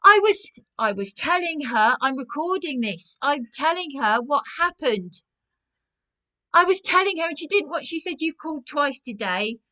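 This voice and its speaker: A woman speaks in a high, piercing voice, sounding distressed. She is an agitated caller making a complaint, pressing her point insistently and repeating herself. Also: expressive, normal speed, sharp tone, low-quality recording.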